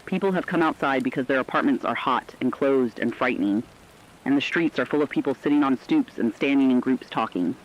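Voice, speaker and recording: A man's voice: medium pitch, brisk, close to an everyday voice. A man playing a solemn radio presenter, reading scripted dispatches in an earnest, reflective storytelling manner. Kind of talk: scripted dramatic radio narration. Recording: dull band-limited radio sound.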